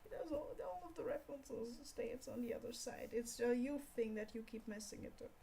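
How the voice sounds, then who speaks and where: A young woman with a Scottish accent chatting casually on an art livestream, rambling and scolding herself out loud in exasperation. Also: sits high, a little hiss or hum, low-quality recording. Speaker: art streamer. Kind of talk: casual livestream chat while drawing.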